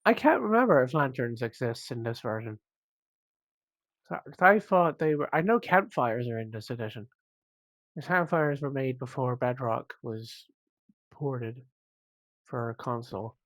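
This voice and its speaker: A man with a cold, sounding croaky and sniffly, rambling casually as he comments on his own gameplay, with self-mocking asides. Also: mid-range voice, expressive, measured pace, pauses often, no room sound. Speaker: gaming channel host.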